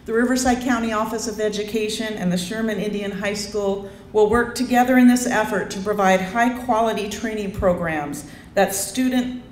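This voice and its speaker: A woman's voice: medium pitch, slight background noise. A middle-aged woman, an education official, reading a prepared speech formally at a podium outdoors. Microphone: podium mic. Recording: echoey outdoor PA sound.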